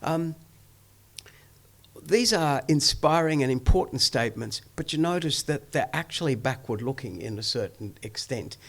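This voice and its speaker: A man's voice: medium pitch, lively intonation, normal speed, vocal fry. A man presenting research in a lecture, talking loosely with many ums, restarts and asides. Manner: discursive, many ums and self-corrections. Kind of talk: academic lecture. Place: event hall.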